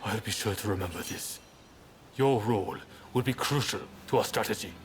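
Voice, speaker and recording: A man acting as a resolute samurai rebel leader, solemn and declamatory, with a lowish voice. He speaks like a video game commander with a cause, and music plays behind him. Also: normal speed, natural voice. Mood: resolved, grave.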